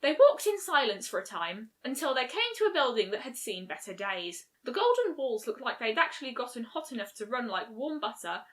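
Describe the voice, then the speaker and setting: Higher-voiced woman reading a story. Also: very animated, fairly quick, hardly any pauses. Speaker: fan fiction reader, narrator. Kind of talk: scripted story narration.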